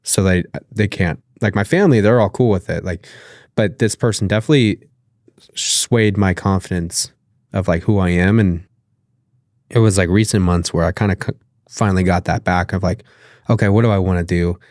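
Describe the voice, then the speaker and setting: A man's voice: low voice, normal speed, a little mellow, dry recording, studio quality. A man with a nasal voice, talking loosely as a podcast guest, with lots of fillers and casual swearing. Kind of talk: casual interview conversation.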